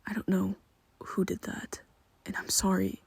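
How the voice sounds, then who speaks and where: A man voicing a young vampire who is his listener's best friend, speaking in a soft, breathy half-whisper with hesitant restarts, in an ASMR roleplay. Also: high voice, very animated, a little slow, dry recording, heavily acted. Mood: pleading, defensive. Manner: hesitant, stumbling restarts, pleading.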